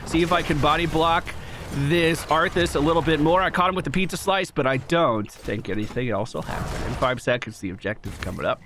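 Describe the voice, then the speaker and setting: Young guy a bit stuffy and nasal, casual gameplay commentary, swears a lot, voice a little high, noisy background. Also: brisk. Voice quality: slightly nasal from a cold. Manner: casual, swears, excitable bursts when plays land. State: getting a cold. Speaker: gaming YouTuber.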